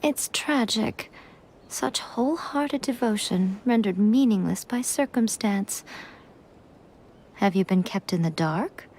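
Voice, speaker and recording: A woman playing a sly, teasing, poised shrine priestess in an anime video game, speaking in a coy, deliberate way. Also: medium pitch, animated, darkish tone, slight background noise. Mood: grave, knowing.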